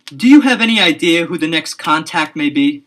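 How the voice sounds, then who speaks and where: A man's voice: a little high, expressive, normal speed, a bit bright. A man playing an eager young detective. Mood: earnest. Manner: earnest, eager. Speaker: teen detective Frank Hardy. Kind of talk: 1970s children's audio drama.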